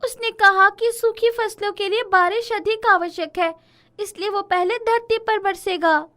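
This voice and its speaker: A woman's voice: very high-pitched, fairly even intonation, unhurried, pushed and bright, voice pushed up, full character voice. A woman voicing a young, caring cloud fairy in a storybook tale, indignant and earnest, with a plaintive delivery. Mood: urgent, explaining. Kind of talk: animated storybook character acting.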